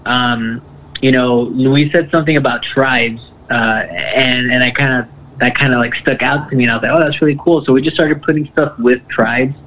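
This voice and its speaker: A man, a band's keyboardist and bassist, telling a story in a loose, rambling way full of fillers. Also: steady tone, moderate pace, a bit bright, muffled recording. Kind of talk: casual interview.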